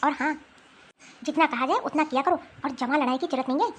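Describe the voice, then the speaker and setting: A woman's voice: sits high, fast talker, slight background noise, natural voice. A woman voicing a harsh, domineering older mother-in-law in a cartoon family drama, scolding and bossy. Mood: angry scolding.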